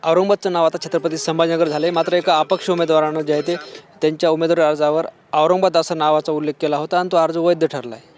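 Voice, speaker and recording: Marathi-accented guy tv reporter from maharashtra doing interview questions, talks quick, pretty flat tone. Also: a little high. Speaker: TV news reporter.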